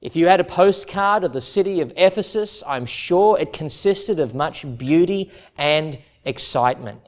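A man preaching an expository sermon, measured and earnest, reading scripture with emphasis. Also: on the high side, lively intonation. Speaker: pastor. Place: church stage in front of a plain backdrop.

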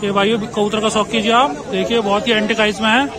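A man with a Western Uttar Pradesh Hindi accent talks in brisk, salesy patter, his voice sitting fairly high. He is recorded on a phone while walking through an open-air market, with chatter and traffic all around him. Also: little pitch movement. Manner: brisk promotional patter, repeatedly urging viewers. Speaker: vlogger touring a pigeon market. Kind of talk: walking market vlog, talking to sellers and viewers. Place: busy outdoor street market. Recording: noisy outdoor market crowd. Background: crowd chatter and traffic.

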